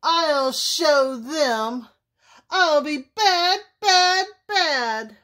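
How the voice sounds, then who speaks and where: A woman's voice: a little high, big pitch swings, takes it slowly, full character voice. A woman voicing a misunderstood male wolf in a children's storybook, earnest, easily hurt and emphatic. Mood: huffy anger.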